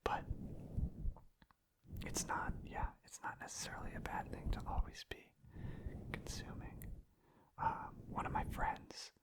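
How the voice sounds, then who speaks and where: A man speaks entirely in a soft, breathy whisper, close to the microphone. He rambles casually in an ASMR style, unscripted and hesitant. His manner is gentle and modest about himself. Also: unhurried, pauses often, rough recording. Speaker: ASMR video creator and streamer.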